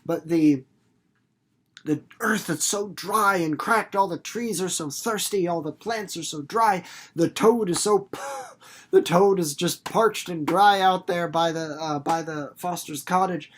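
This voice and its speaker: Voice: mid-range voice, a little slow. Casual teacher-narrator, mid-range voice, informal, rambling, theatrical, explaining a story to students. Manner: rambling, theatrical, with acted-out examples and sound effects; informal, playful, repeats words for emphasis. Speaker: reader and literature teacher hosting a read-aloud video series. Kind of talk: casual read-aloud commentary and explanation for students.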